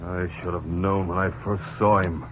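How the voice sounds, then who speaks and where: An actor playing a cocky, defiant outlaw gang boss with sneering cowboy talk in a 1950s radio western. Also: male voice, deep voice, steady tone, normal speed, close to an everyday voice. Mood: dawning realization. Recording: dull, hissy old radio broadcast.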